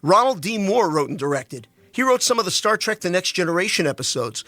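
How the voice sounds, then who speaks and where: A man's voice: high-pitched, very animated, brisk, pushed and bright, no echo, exaggerated voice. A man playing a curious, joking married teacher in a dramatic reading, with an inquisitive, wry manner. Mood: chatty, enthusiastic.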